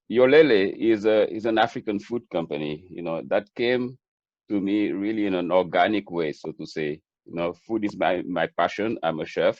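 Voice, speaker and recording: A man's voice: on the low side, a little mellow, no echo, highs cut off. A middle-aged man with a Senegalese accent gives an earnest, reflective interview answer, repeating words as he builds his points. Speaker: webinar guest, chef and food entrepreneur.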